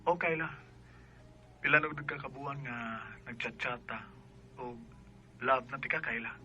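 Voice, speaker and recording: An actor with a Cebuano Filipino accent playing an earnest young man gently wooing in a radio drama. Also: mid-range voice, unhurried, a little hiss or hum. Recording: dull, band-limited sound.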